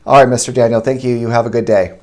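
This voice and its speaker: A man's voice: medium pitch, fairly even intonation, fast talker. A man speaking as a school principal, hosting an interview and putting questions in a semi-formal way.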